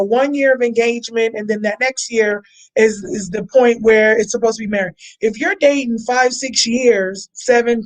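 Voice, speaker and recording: A woman's voice: on the high side, low-quality recording. A woman on a church discussion panel, speaking in an emphatic, opinionated way.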